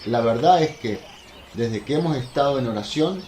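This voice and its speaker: An actor playing a humble, plain-spoken apostle, earnest and talkative, in a religious radio drama. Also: male voice, medium pitch, animated, moderate pace, a little mellow, close to an everyday voice. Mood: earnest, chatty. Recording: roomy echo, faint noise, birdsong behind.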